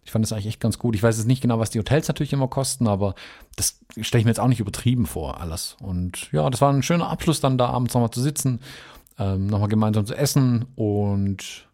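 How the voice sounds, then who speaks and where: A man's voice: on the low side, fast talker, a little mellow. Chatty German-speaking man telling a travel story. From United States. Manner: rambling, chatty, many fillers. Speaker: podcast guest.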